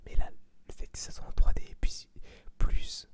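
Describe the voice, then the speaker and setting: An ASMR host whispering a review in an informal, slangy, rambling way. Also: a little slow. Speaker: ASMR video host giving a film review.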